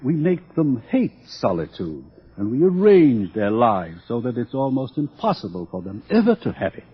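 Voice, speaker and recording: A man's voice: mid-range voice, wildly swooping pitch, normal speed, breathy edge, heavily acted. A man playing an urbane, smug older world controller in a theatrical radio drama, pompous and patronizing as he lectures. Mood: reflective, lecturing. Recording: dull, lo-fi radio drama recording.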